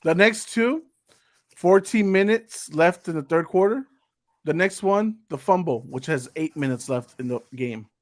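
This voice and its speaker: A man's voice: fairly high pitch, lively intonation, a little slow. A man on a casual sports panel, making his case in an exasperated, pointed way with rhetorical questions.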